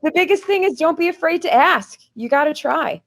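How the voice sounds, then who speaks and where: A woman's voice: high-pitched, expressive, fairly quick, a bit bright. A woman talking as a guest historian on a podcast, earnest and explanatory, with small restarts. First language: English.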